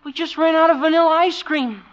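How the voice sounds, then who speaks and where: High-voiced, chirpy soda-counter server. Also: male voice, fairly even intonation, fairly quick. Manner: clipped order-taking patter. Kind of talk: 1950s radio comedy acting. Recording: muffled, very noisy old radio, audience behind.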